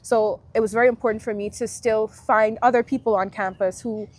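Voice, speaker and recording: A woman in her twenties from Guyana, a university alumna, talking through her experiences in a relaxed, reflective way as an interview guest. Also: fairly high pitch, a little fast. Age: 20s. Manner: reflective, friendly, thinks aloud with fillers. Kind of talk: casual interview chat.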